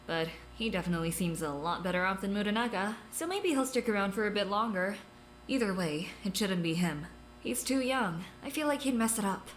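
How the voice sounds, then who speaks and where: A woman's voice: medium pitch, lively intonation, a little fast, a little hiss or hum, close to an everyday voice. A woman playing a blunt, scornful doctor who trades dry, cutting sarcasm. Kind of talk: audio drama acting.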